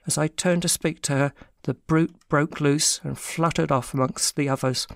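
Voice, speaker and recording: A man's voice: on the high side, steady tone, normal speed, vocal fry, a bit bright, dry recording, clean studio sound. An actor playing an anguished working man confessing to a theft in a dramatic audiobook, telling his story with self-pity. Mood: anxious recounting.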